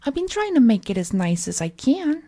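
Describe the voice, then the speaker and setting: A woman's voice: fairly high pitch, sing-song, moderate pace, warm tone. A woman playing a cheerful, possessive villainess kidnapper in a roleplay audio drama, cooing and coaxing the listener in folksy slang. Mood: possessive, soothing. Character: cheerful, possessive female supervillain kidnapper, doting and unhinged.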